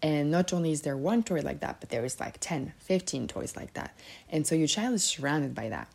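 A woman from Serbia talks alone into a podcast microphone. Her voice sits low, and she rambles and corrects herself often, full of fillers. The tone is casual and conversational. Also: lively intonation, brisk, vocal fry, a little mellow, slightly rough. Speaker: podcast host. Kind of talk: casual solo podcast talk.

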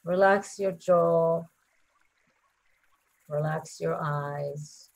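Very slow, soothing woman guiding meditation. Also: sits low, fairly even intonation. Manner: gentle, soothing, unhurried with long pauses. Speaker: meditation guide. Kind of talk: guided meditation.

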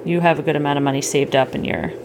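A middle-aged woman chatting casually with a child at bedtime, gently explaining things and checking in with questions. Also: deep voice, steady tone, racing. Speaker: parent hosting a bedtime story podcast. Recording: clearly noisy home recording.

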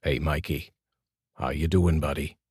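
Man reading as a hulking loyal buddy in an audiobook, deep husky low voice. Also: steady tone, measured pace, a little mellow, no echo, studio quality, heavily acted. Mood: friendly. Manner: friendly, easygoing. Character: big strong loyal man, friendly and tough.

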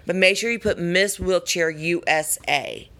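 A woman with a raspy voice talking earnestly and encouragingly to her viewers in a casual vlog. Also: fairly low pitch, measured pace, fairly bright tone. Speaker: pageant contestant. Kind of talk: casual vlog talk to camera.

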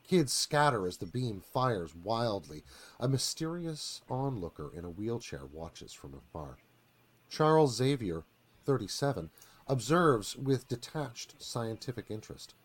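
A man's voice: medium pitch, very animated, measured pace. A man reading screenplay narration dramatically for a live radio theatre performance.

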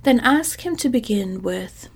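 A woman's voice: fairly high pitch, animated, measured pace, gravelly, breathy edge, heavily acted. Scarecrow, a fussy straw king, as a whispering woman puts him on. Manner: soft whispered reading.

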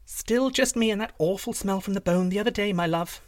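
A man's voice: high voice, a little fast, no echo, clean studio sound, voice pushed up, close to an everyday voice. A man voicing a bold, cunning princess in a storybook tale, speaking in an airy, coaxing, sweetly persuasive way. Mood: determined.

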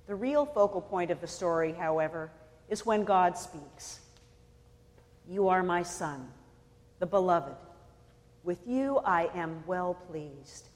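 Woman pastor, deliberate pacing, pauses for weight, long church reverb. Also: on the low side, expressive, darkish tone. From California. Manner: measured, deliberate delivery with pauses for emphasis. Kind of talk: sermon.

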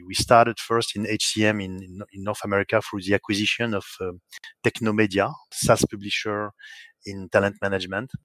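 A man's voice: lowish voice, flat delivery, brisk, a bit bright, no echo. A man with a French accent, answering questions as a guest in a podcast interview, hesitant with many restarts. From France. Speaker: guest, software company executive.

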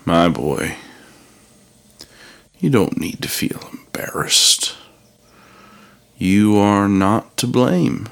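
A man doing a hushed, gravelly old stranger. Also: fairly low pitch, animated, full character voice. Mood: musing. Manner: slow, hushed, drawn-out speech, dropping to a whisper.

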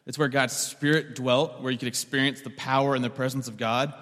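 A man preaches to his congregation in a loose, joking way, often doubling a word before carrying on. His voice sits at an ordinary middle pitch. A long reverb trails behind each phrase, as if the sound fills a large hall. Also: steady tone, a little fast, a bit bright. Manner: conversational and joking, repeats words as he restarts. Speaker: pastor. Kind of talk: sermon.

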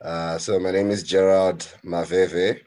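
A man's voice: deep voice, level tone, unhurried, warm tone. A man in his thirties with a Zimbabwean accent, chatting casually as an interview guest about himself. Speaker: podcast guest, actuary. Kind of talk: casual interview chat, recounting background.

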